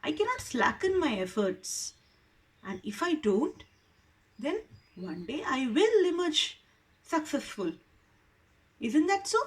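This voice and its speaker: Woman as an earnest, high-voiced young boy. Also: big pitch swings, slow pace, pauses often, heavily acted, low-quality recording. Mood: earnest realization. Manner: earnest, puzzled, reasoning aloud. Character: earnest, wondering young boy thinking hard. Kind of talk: storybook character voice.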